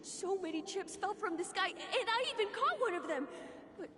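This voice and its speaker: A woman playing an excitable, starstruck, gullible young tourist who stammers in wonder, in an animated game scene. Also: extremely high pitch, moderate pace, a crowd in the background. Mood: excited, flustered. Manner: breathless wonder, hesitant stammering.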